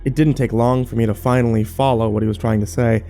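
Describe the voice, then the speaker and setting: A man's voice: mid-range voice, fairly even intonation, fast talker, warm tone, faint music behind, natural voice. A man playing a wary, troubled survivor who narrates a horror audio drama in a colloquial, brooding way. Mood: dazed, subdued. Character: troubled, wary male survivor telling his story.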